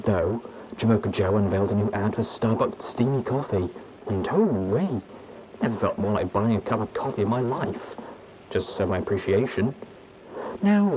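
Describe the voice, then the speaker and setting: A man's voice: fairly low pitch, noisy background, lightly acted. A man voicing a weary, grumbling, folksy small-town lawman pony recording an audio log in a story. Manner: folksy, exasperated.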